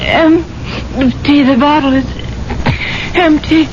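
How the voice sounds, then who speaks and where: An actress playing a drugged, dying, betrayed wife in a 1970s radio melodrama, groggy, halting and gasping. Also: female voice, sits high, fairly even intonation. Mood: dawning horror. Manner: halting, gasping, breaking off words. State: groggy, weak and distressed. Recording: dull, noisy 1970s radio recording.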